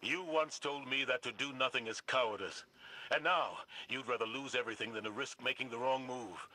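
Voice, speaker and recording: Stern older game commander, embittered, very forceful, husky, grave delivery. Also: male voice, medium pitch, fairly quick. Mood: angry, accusing. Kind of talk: dramatic video game acting.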